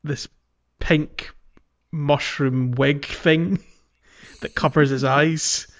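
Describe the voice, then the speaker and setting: A man's voice: a little high, steady tone, extremely slow, fairly bright tone. A man hosting a casual gaming podcast, explaining things in a hesitant, trailing way. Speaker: podcast host.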